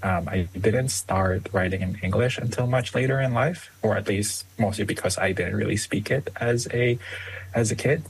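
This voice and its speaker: A young man from the Philippines, first language Tagalog, answering interview questions as a guest author, with many ums and soft laughs. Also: on the low side, normal speed, gravelly, slight background noise, rough recording.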